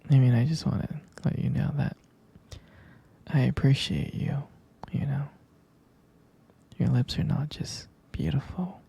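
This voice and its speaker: Slow, breathy whispering boyfriend played by a man. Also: medium pitch, steady tone, mellow, exaggerated voice. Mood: adoring. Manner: slow, doting, lingering over words. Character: tender, devoted boyfriend comforting his pregnant partner. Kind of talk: boyfriend ASMR roleplay, intimate and soothing.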